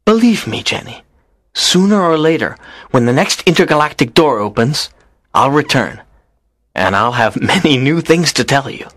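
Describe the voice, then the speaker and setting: A man's voice: medium pitch, very animated, moderate pace, a bit bright, no echo, studio quality. A man playing a lonely, earnest teenage schoolboy in a dramatised story, a pleading dreamer. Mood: reassuring, resolute.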